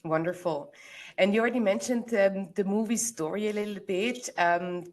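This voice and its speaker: A woman hosting a film club Q&A, asking measured questions with some fillers. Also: mid-range voice. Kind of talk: moderating a Q&A.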